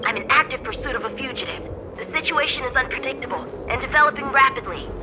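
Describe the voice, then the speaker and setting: A woman's voice: high voice, fast, background noise, close to an everyday voice. A woman voicing an earnest, dutiful young boy knight in an anime game, speaking formally. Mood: formal, urgent. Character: earnest, dutiful boy knight, about nine years old. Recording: music behind the voice. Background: game music.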